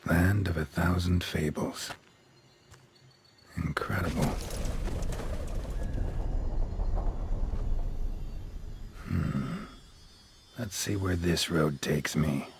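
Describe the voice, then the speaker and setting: An actor playing a gruff, world-weary monster slayer in a fantasy video game, terse and dry with a growling delivery. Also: male voice, low voice, slow, long pauses, a little hiss or hum, exaggerated voice. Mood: curious, wary. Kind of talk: video game character acting.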